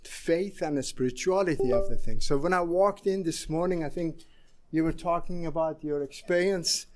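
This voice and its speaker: Man in his sixties, Iranian accent, higher voice, halting conference talk. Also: darkish tone, faint music behind. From Iran. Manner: halting, many fillers and restarts. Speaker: conference speaker. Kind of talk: conference presentation.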